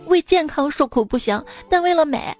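Casting: young female comedy host, higher-pitched, teasing and theatrical, chatting with music underneath. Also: expressive, moderate pace. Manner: playful, teasing, theatrical delivery. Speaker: host of a comedy joke show. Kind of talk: chatty humorous monologue over music. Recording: music bed behind the voice. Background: background music.

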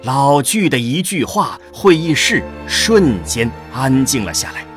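A man's voice: mid-range voice, big pitch swings, a little slow, faint music behind. A man narrating a scripted science-fiction radio drama.

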